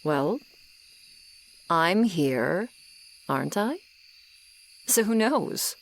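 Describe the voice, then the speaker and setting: Woman playing a slow, low-voiced tsundere monster girl. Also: sing-song, heavily acted. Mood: teasing, aloof. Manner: slow, sardonic, haughty but softening. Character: tsundere swamp monster girl, ageless, grudgingly kind, aloof. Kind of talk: ASMR roleplay acting, addressed to the listener. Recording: night swamp ambience with insects behind. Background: crickets and insect ambience.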